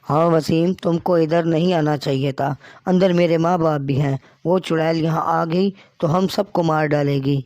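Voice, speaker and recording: A man's voice: a little high, steady tone, normal speed, hardly any pauses, darkish tone, no room sound, dull audio, lightly acted. A man voicing an anxious, loyal friend in a horror cartoon. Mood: worried. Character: anxious, loyal male friend warning of a witch.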